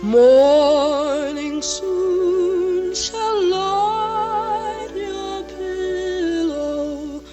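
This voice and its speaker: A gentle lullaby singer, played by a woman, with a high voice. She sings extremely slowly and soothingly. Music is very prominent around her. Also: fairly even intonation, full character voice. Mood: tender, soothing. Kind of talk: sung lullaby.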